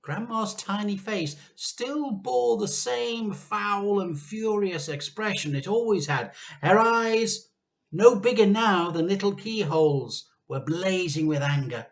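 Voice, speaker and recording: A man reading a children's story aloud with expressive, theatrical narration and dramatic pauses. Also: sits high.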